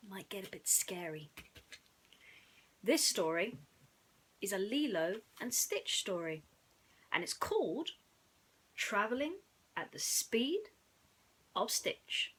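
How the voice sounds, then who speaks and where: A woman's voice: mid-range voice, sing-song, slow pace, pauses often, fairly bright tone, husky. A woman reading stories to children in a playful, theatrical way, with chatty asides. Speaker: children's bedtime story reader. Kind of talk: animated storytelling for children.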